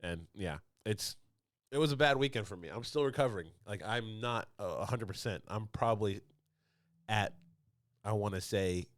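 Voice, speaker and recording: A man's voice: lowish voice, moderate pace, no room sound. A young man co-hosting a podcast, telling a rambling story with lots of restarts and fillers. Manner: rambling, restarts and laughs often. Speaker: podcast co-host. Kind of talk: casual chat. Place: podcast studio.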